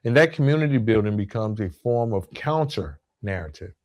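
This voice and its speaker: A man's voice: fairly low pitch, expressive, moderate pace, a little mellow. A man hosting a podcast, giving a semi-scripted motivational monologue in an earnest, emphatic way with repeated phrasing for effect. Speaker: podcast host.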